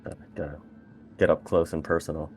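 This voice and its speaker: A man's voice: rumbling low, level tone, brisk, soft background music. A man playing a tabletop game, talking casually about his character. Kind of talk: casual chat.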